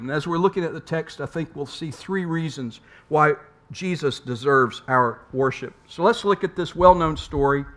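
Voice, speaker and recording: A man's voice: fairly high pitch, fairly bright tone. A man preaching a sermon in a conversational way, asking rhetorical questions and sometimes repeating words as he goes. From United States. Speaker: pastor.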